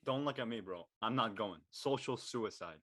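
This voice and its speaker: Cocky high school jock played by an actor, slangy and exasperated, voice jumps all over. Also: expressive, normal speed, fairly bright tone. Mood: reluctant.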